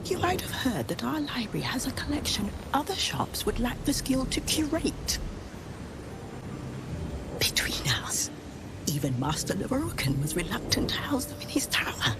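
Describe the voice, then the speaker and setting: An actress voicing a haughty bookseller in a video game, speaking in a measured, condescending and dryly superior way. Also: female voice, fairly high pitch, big pitch swings, in a whisper. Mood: smug, confiding. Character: haughty bookseller guarding a vault of rare tomes. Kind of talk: video game character acting.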